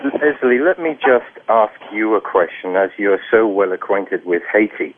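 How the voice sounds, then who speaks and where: Low-voiced male host on a phone line. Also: lively intonation, normal speed, slight background noise. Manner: long, searching questions with some stumbles. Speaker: radio host. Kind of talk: radio discussion.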